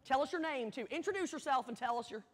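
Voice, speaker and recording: A woman's voice: sits high, expressive, quick pace. A woman hosting a live event, speaking to an audience in an encouraging, direct way and prompting them to take part. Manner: encouraging, direct, asks questions of the crowd. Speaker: host, speaker leading an audience. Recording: echoey hall with audience noise.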